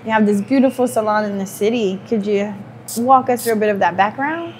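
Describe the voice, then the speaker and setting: A woman's voice: medium pitch, a little fast, darkish tone, a little hiss or hum. A woman presenter explaining hair products and chatting with a stylist, friendly and talkative with frequent "um"s. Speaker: brand presenter. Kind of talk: casual chat and product explanation.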